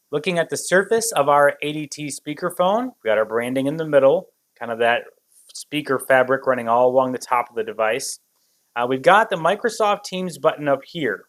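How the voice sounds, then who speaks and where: A man's voice: mid-range voice, fairly bright tone. A man reviewing a product in an unscripted walkthrough, explaining things step by step.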